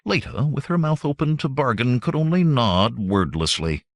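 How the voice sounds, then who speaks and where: A man reading adventure fiction aloud as an audiobook narrator, in measured, expressive storytelling. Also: mid-range voice, no echo, studio quality.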